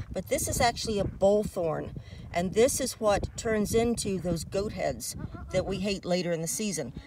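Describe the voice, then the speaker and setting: This is a middle-aged American woman presenting informal safety advice outdoors. Her voice sits at an ordinary pitch and comes from some distance off the microphone. A faint layer of outdoor noise lies under it. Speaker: fitness department staffer presenting a dog hiking safety video. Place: outdoors in open desert by trails and a dirt parking lot.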